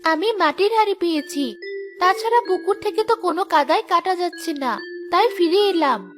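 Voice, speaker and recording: A woman voicing a meek, forgiving youngest village sister in a children's cartoon, soft-hearted and dutiful. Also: very high-pitched, animated, moderate pace, music in the background. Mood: disappointed.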